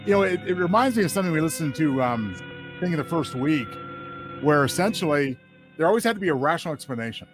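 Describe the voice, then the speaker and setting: A man's voice: on the high side, lively intonation, quick pace, over music. A man speaking as a panelist in a casual discussion, reflective and tentative as he gives his opinion.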